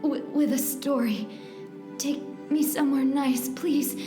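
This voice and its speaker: A woman plays a plucky, devoted sweetheart in a melodramatic radio parody. Her voice is fairly high and her delivery theatrical and sentimental. It has a roomy echo with music clearly behind her. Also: steady tone, slow pace. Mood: weak, wistful.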